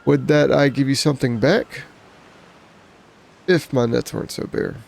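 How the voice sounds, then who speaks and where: A man's voice: medium pitch, a little slow, vocal fry, a little mellow. An actor playing a humble, apologetic, folksy old fisherman in a video game. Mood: grateful. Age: older.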